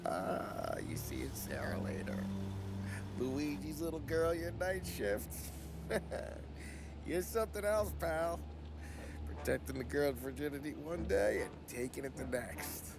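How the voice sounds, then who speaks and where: A man's voice: fairly high pitch, very animated, measured pace, vocal fry, exaggerated voice. An actor playing a brash, joking male mobster who teases crudely and laughs often, in a video game cutscene. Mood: ribbing. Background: score music.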